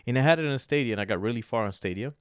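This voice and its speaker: Smooth low voice guy, rambly casual podcast chat, really dry sound. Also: racing, dry recording. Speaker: podcast co-host. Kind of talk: casual chat about personal goals and video games.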